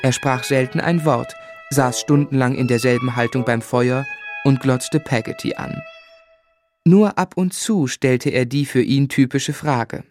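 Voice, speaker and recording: A man's voice: medium pitch, a little slow, a little mellow, soft background music, lightly acted. An actor playing a grown man who recalls his boyhood, narrating a literary radio drama in the first person. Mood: reflective. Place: dry studio.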